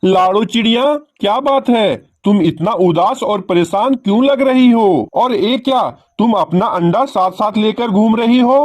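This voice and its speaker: Cartoon pigeon played by a man: high male voice, dry close sound, earnest, concerned neighbour. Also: measured pace, few pauses, darkish tone, dry recording. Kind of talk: Hindi cartoon dialogue.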